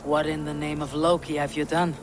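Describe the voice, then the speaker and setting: An actor playing a resolute, blunt Viking raider commander in a video game, terse and commanding. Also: male voice, on the high side, level tone, a little fast, natural voice. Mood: firm, urgent. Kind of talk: video game dramatic acting. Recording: loud noise behind the voice.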